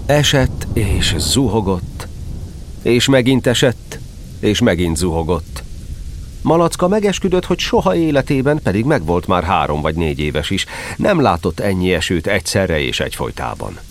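A man narrates a storybook audio drama in a fairly low voice. His delivery is scripted and expressive, with animated rises and falls, over clear ambient noise.

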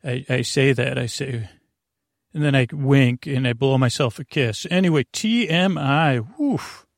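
A man hosting a bedtime podcast with a creaky, gravelly voice, meandering and halting in a goofy, self-deprecating monologue that keeps going off topic. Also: mid-range voice, no echo, clean studio sound. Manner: meandering, halting, goes off topic mid-sentence. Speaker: podcast host.

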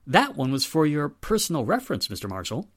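A man's voice: fairly high pitch, huge pitch swings, fairly quick, slightly rough, dry recording, clean studio sound, full character voice. A man acting a stern, commanding police inspector in formal 1940s radio drama style. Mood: assured. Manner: authoritative, formal.

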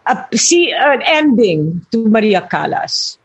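A woman's voice: fairly high pitch, sing-song, measured pace, husky, low-quality recording. An older woman, an actress talking earnestly about her craft in an interview and often correcting herself mid-thought. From Philippines. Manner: earnest, searching for words, self-correcting. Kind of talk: reflective interview talk.

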